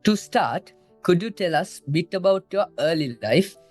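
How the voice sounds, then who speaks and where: Young Sri student host, higher-pitched, polite and scripted. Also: male voice, lively intonation, moderate pace, faint music behind. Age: young adult. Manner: polite, addresses guest as sir. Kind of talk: scripted interview questions.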